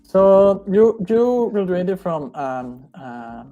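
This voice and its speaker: A man's voice: sits high, big pitch swings, dark tone, muffled recording, soft background music. A male interview host whose first language is Spanish, translating his guest's answers and stumbling through his questions in English. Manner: quick, laughing, stumbling in English.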